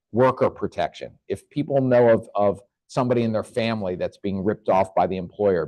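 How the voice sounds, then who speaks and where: A man with a fairly low voice speaks as a government official at a neighborhood meeting. He answers in an earnest, measured way and sometimes restarts his sentences. The sound is close and dry. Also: dark tone, no echo. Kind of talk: answering questions at a public meeting.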